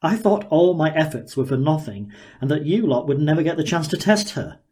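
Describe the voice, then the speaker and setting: Man acting an excitable, sneering inventor. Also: a little high, a little fast, voice pushed up, natural voice. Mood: excited, triumphant. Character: excitable, sneering, slightly unhinged male inventor. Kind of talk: audiobook character dialogue.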